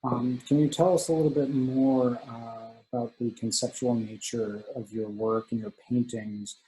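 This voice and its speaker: A man's voice: mid-range voice, fairly even intonation, moderate pace, warm tone, husky, poor audio. A man moderating a panel, asking the speakers questions politely and directly.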